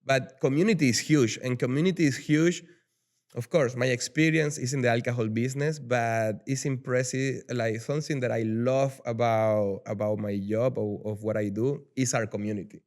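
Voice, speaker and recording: Venezuelan man in his own talk, Spanish-native accent, smooth and clear, lively and rambling. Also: medium pitch, moderate pace. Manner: animated, restarts and repeats words, tags sentences with "though". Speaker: guest, rum brand ambassador. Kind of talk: casual podcast interview. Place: lives in Miami.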